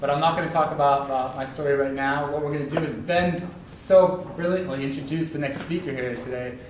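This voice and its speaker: A man's voice: on the high side, fairly even intonation, a little fast. A man from Sweden hosting a business event, introducing and interviewing a speaker with many fillers. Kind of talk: stage interview. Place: large echoey event hall. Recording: echoey hall, distant mic, faint hiss.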